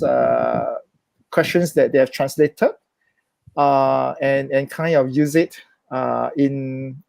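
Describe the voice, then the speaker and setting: A man's voice: fairly high pitch, gravelly, a little mellow, no room sound. A man whose first language is Chinese, speaking English in a thoughtful, hesitant way with many restarts as an interview guest. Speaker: interview guest, social worker. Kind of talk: reflective conversational interview.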